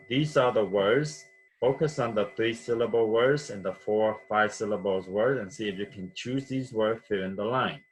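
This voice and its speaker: A man's voice: lowish voice, slight background noise, rough recording. A man from Vietnam teaching vocabulary patiently, repeating words and checking with "okay?". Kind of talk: classroom vocabulary lesson.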